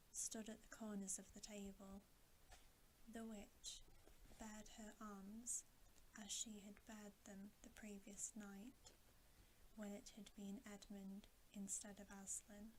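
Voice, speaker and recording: Slow whispering woman, ASMR bedtime reader. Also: medium pitch, poor audio. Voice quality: breathy whisper. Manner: hushed, unhurried, softly deliberate. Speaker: ASMR storybook reader and host. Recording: steady audible hiss.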